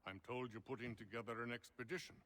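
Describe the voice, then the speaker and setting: A man playing a measured, courteous gentleman scholar with formal speech in a video game. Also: mid-range voice, fairly even intonation, in a large hall, slight background noise, close to an everyday voice. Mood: composed. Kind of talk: video game character acting.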